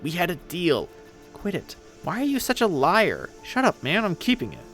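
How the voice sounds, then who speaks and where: A man's voice: high-pitched, wildly swooping pitch, normal speed, a bit bright, soft background music, pitched above the performer's own voice, exaggerated voice. A man acting out petulant young men squabbling over treasure in a dramatic story reading. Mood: defensive bickering.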